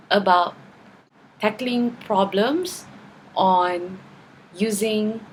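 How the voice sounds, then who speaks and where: A woman's voice: medium pitch, a little hiss or hum, poor audio. A tired woman, not a native English speaker, talking to camera in a chatty video response, often tagging her points with "okay" and "right?". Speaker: vlogger.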